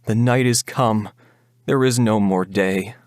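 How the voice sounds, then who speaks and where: A man's voice: fairly low pitch, slow pace, dry recording, studio quality. A man voicing a wildly despairing, defiant young woman, anguished and declamatory, in a dramatic reading of a gothic ballad.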